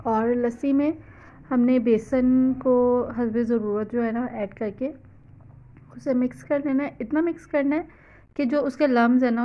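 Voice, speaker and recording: A woman's voice: a little high, dull audio. A woman with a Pakistani Urdu accent, talking through a recipe in a loose, chatty, explanatory way and mixing in English words, recorded on a phone in a home kitchen. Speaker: cooking vlogger. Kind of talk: casual cooking voice-over.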